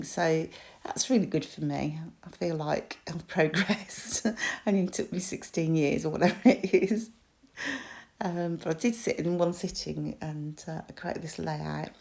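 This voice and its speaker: Croaky British woman chatting through a craft project. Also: sits low, mellow. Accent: British English. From United Kingdom. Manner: chatty, self-deprecating, with frequent ums and small laughs. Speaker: crafter and shop designer. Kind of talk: crafting tutorial voice-over.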